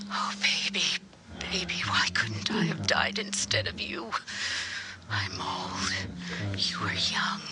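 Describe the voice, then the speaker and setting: A woman's voice: fairly low pitch, exaggerated voice. A woman playing a grief-stricken old mother in radio horror drama, lamenting in a broken, anguished way.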